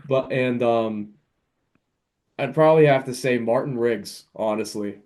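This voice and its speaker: A man's voice: medium pitch, a little slow, darkish tone. A man talking as a podcast guest in casual, slangy, enthusiastic conversation. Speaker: podcast guest, indie author.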